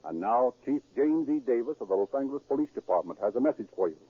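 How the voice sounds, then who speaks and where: A man's voice: mid-range voice, lively intonation, fast talker, slightly rough, no echo. Male radio announcer, formal and emphatic, 1930s scripted delivery, tinny narrow-band recording, music behind.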